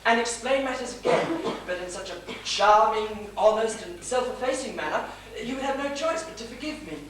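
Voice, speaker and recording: A woman's voice: a little high, animated, moderate pace, lightly acted. A woman playing an anxious, over-apologetic young male clerk in a farcical stage comedy, flustered and stammering as she pleads. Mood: pleading. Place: small theatre stage, far from mic. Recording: distant, echoey, noisy theatre stage recording.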